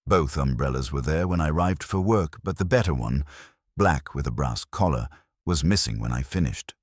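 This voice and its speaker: A man's voice: very deep voice, lively intonation, moderate pace, husky, no room sound, clean studio sound, full character voice. A man voicing an observant, courteous council works foreman in a story, precise, deferential and formal. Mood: helpful, precise.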